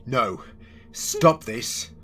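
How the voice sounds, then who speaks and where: A man playing an anguished, earnest, protective time-traveller hero in an audio drama, halting when distressed. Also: medium pitch, animated, fairly bright tone. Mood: horrified.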